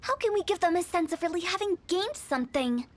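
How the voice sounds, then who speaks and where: A woman's voice: extremely high pitch, animated, brisk, slight background noise. A woman playing a cheerful, eccentric young funeral parlor director in an anime game, breezy and theatrical. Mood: pondering.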